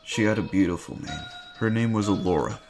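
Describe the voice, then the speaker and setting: Guy playing a shy romantic hero, deep voice, soft-hearted, music behind him. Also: fairly quick. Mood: shy, affectionate. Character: tender, reticent male hero in love. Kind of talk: amateur dramatic reading. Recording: music bed under the voice.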